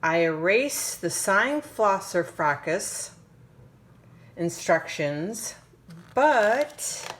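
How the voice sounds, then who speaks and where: A woman reads a children's storybook aloud, taking her time over every phrase. Her pitch moves up and down in an animated way, and she draws words out dramatically with pauses for suspense. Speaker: parent reading a picture book aloud.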